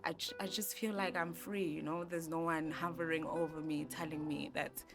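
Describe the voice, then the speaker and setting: Low-voiced South African woman in her twenties. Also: flat delivery, normal speed. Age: 20s. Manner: soft-spoken, reflective, with many fillers and restarts. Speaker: interviewee, graphic designer. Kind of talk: relaxed personal interview. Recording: music bed playing behind voice.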